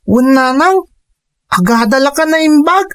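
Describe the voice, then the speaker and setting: High anxious young son. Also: female voice, lively intonation, unhurried, no room sound, studio quality. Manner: pleading, anxious questions. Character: young son worried about his parents. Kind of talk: radio drama acting.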